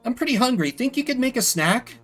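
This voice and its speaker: A man voicing a cheeky, tough, energetic young girl in a video game, speaking in blunt, childlike phrasing. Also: extremely high pitch, a little fast, faint music behind, voice pushed up, heavily acted. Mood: blunt, pleading.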